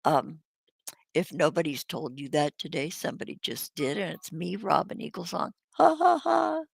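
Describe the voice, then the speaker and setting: A woman's voice: low voice, lively intonation, moderate pace, creaky, slightly rough, no room sound, clean studio sound. A woman hosting a casual livestream chat, rambling, laughing and swearing freely while she loses her voice. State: losing her voice. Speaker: host.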